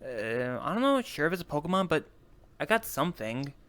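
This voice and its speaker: A person speaking casually and haltingly while role-playing in an online voice chat. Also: mid-range voice, very animated, fairly bright tone. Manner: hesitant, halting explanations. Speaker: role-player in a group meet-up. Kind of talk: casual in-character improvised chat. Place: online voice chat in a virtual world.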